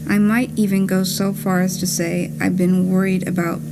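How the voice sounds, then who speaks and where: A woman reading the part of a wry, anxious, lovesick traveller in a scripted puppet play, in a measured, confessional storytelling manner. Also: lowish voice, little pitch movement, creaky, background noise. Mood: wry worry. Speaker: reader performing a puppet play. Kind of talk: scripted first-person storytelling, letter-like monologue. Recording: live theatre reading over guitar music.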